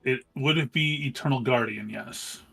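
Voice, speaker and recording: Man, average pitch, tabletop player, informal, conversational game chat. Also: moderate pace, vocal fry, bright, forceful tone, breathy edge, faint music behind.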